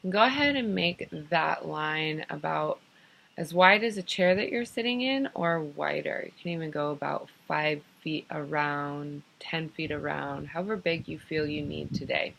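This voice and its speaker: Low-pitched, gravelly woman guiding meditation. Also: a bit bright, poor audio. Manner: unhurried, soothing, pausing between short phrases. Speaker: guided meditation teacher and host. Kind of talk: guided meditation, improvised gentle instruction.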